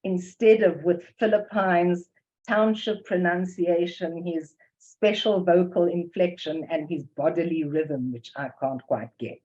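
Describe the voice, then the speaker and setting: A woman's voice: on the low side, measured pace, mellow. An older woman with a South African English accent giving an academic lecture, reading carefully from a prepared text and reading poems aloud. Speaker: academic presenter. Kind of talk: lecture presentation with poetry reading. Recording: dull lo-fi recording.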